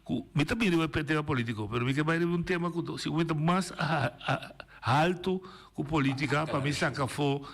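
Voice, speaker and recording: A man hosting a radio call-in discussion, talking in an animated, emphatic way with many restarts. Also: fairly high pitch, normal speed, vocal fry, slight background noise. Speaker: radio host. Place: radio studio.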